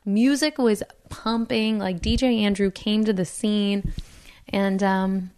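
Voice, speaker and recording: Hoarse, raspy woman chatting. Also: mid-range voice, steady tone, takes it slowly, a little mellow. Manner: chatty, full of "like" fillers. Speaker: podcast co-host. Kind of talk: casual chat.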